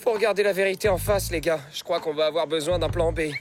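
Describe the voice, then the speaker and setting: A man voicing a scheming, boastful male fox in a cartoon, with a bossy, excitable delivery. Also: a little high, fast talker, over loud music, close to an everyday voice. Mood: alarmed. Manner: bossy, excitable, self-satisfied. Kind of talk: cartoon dub acting.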